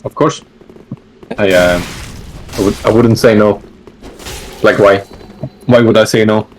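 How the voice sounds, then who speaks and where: A man with a fairly low voice answers interview questions on a voice call. He speaks in an easygoing, rambling way with plenty of "like" and "uh." A faint hum of room ambience sits behind him. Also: unhurried, low-quality recording. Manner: relaxed, rambling, lots of fillers and likes. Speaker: interview guest, gamer. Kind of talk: casual online interview.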